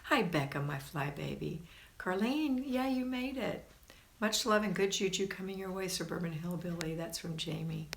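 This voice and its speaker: A woman's voice: lowish voice, normal speed, creaky, a little hiss or hum. A middle-aged woman chatting live and reading out comments in an encouraging, folksy manner, like a homemaking coach. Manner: encouraging, folksy, addressing listeners as hun and honey. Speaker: livestream host and homemaking coach. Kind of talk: casual live chat, reading and answering viewer comments. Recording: roomy, echoing home recording.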